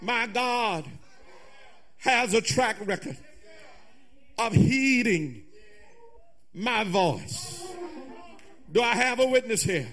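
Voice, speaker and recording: A man preaching a fervent, musical sermon in a raspy, gravelly voice, in short, emphatic, repeated phrases that slide toward singing and shouting. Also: extremely high pitch, sing-song. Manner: emphatic repetition in short, drawn-out phrases with calls to the congregation.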